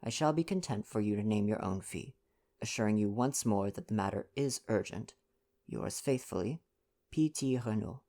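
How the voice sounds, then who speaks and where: Wealthy letter writer read by a man: anxious, formal, measured urgency, storybook narration. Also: fairly low pitch, dark tone, close to an everyday voice. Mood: urgent.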